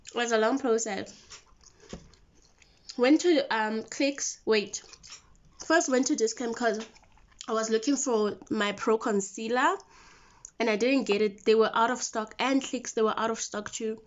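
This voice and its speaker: A young South African woman talks to camera in a fairly high voice, chatty and slangy like she's catching up with friends. It's a casual vlog delivery, picked up on a phone with dull, lo-fi sound. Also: measured pace, long pauses. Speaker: vlogger. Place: mostly a bedroom at home. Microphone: phone camera at arm's length.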